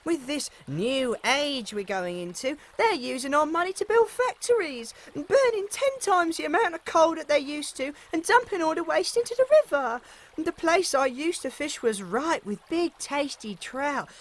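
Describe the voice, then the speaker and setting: Woman playing a squeaky old gnome fisherman, lilt, deferential, swooping wide, audio drama. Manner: fussy, deferential, says "madam" often. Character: humble, timid old male gnome fisherman.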